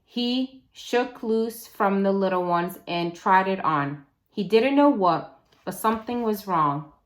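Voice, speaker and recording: A woman reading a children's novel aloud as a teacher would, in an expressive, deliberate storytelling way. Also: mid-range voice.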